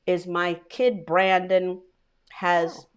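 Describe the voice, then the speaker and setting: A woman's voice: lowish voice, level tone, drawn-out words, clean tone. A middle-aged woman co-hosting a casual podcast chat, talking in a loose, rambling way after a few drinks. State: tipsy. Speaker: co-host.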